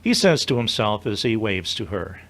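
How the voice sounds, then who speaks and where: A man's voice: lowish voice, big pitch swings, moderate pace. A man reading scripted narration for an audio drama as its narrator.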